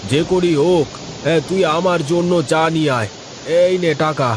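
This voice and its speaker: Man playing a bossy, high-pitched household master. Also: close to an everyday voice. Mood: irritated. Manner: bossy, exclamatory. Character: demanding, impatient tea-craving household master. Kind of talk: Bengali cartoon voice acting. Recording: noticeable rushing rain-like ambient noise.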